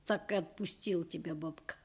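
A woman's voice: lowish voice, fairly even intonation, a little fast, slightly rough. An actress playing a roguish, streetwise village boy in a radio play, talking in an offhand, folksy, boyish way. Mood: skeptical.

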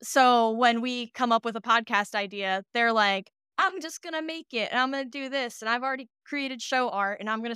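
A woman chatting casually as an interview guest, an animated storyteller who slips into acting out imagined dialogue. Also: a little high, smooth, no echo, studio quality. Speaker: podcast producer, interview guest.

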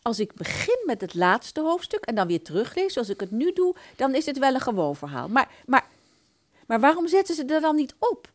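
An actress plays a puzzled older lady in a radio comedy sketch. Her pitch swings in a lively way as she stammers and repeats herself, apologetic yet stubbornly persistent. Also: moderate pace. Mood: puzzled, insistent. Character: fussy, hesitant older woman pensioner, puzzled and thrifty. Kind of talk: radio play dialogue.

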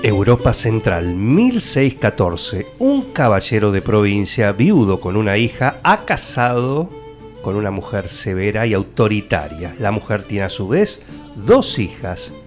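A man with an Argentine Rioplatense accent, narrating a radio play in a measured, mock-solemn scripted storytelling style. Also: fairly low pitch, sing-song, faint music behind.

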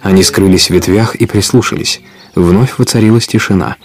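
A man's voice: fairly low pitch, brisk, soft background music. A man narrating a story for a Russian audio play in a scripted storytelling style.

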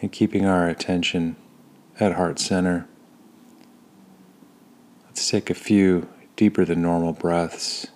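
Male meditation guide: low, even, unvarying delivery, calm and soothing, with unhurried pauses between phrases. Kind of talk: guided body scan meditation.

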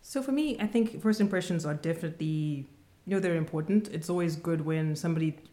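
A woman co-hosting a casual podcast chat, speaking in a calm, measured and reserved way. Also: deep voice. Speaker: podcast co-host.

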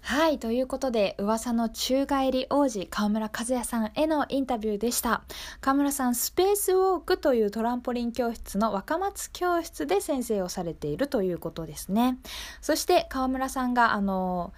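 Higher-pitched woman hosting radio. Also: lively intonation, moderate pace. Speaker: radio show host. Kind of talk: casual local radio hosting.

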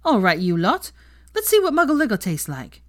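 A woman's voice: medium pitch, huge pitch swings, moderate pace, fairly bright tone, no echo, clean studio sound, pitched above the performer's own voice. A woman voicing an exuberant, cheeky young male wizard out partying in a story reading, playful and brash.

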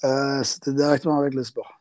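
Give me a young man from South Africa, speaking hesitant French in a casual interview, with a mellow, dark tone. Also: medium pitch, fairly even intonation, fairly quick, no room sound, studio quality. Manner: hesitant, many fillers and restarts in second-language French. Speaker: interviewee, rugby player.

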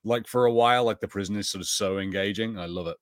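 A man's voice: fairly low pitch, fast talker, smooth, no room sound, clean studio sound. A man co-hosting a podcast, chatting casually and enthusiastically in a review. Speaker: podcast co-host.

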